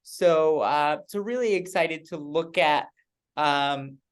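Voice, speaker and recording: A man's voice: fairly high pitch, slow pace, clear, resonant tone. A man from Mexico introducing himself on a panel, upbeat and repeating words as he goes.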